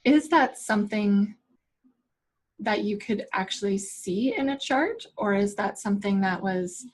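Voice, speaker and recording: Slightly husky woman host, chatty. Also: medium pitch, expressive, a little slow. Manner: chatty, filler-heavy, quotes herself in playful asides. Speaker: interview host. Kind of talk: casual conversational interview.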